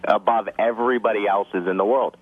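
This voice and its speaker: A man's voice: on the low side, steady tone, fairly quick, no echo. Man chatting on radio, slightly dull sound. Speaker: radio show participant. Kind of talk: conversational talk radio banter.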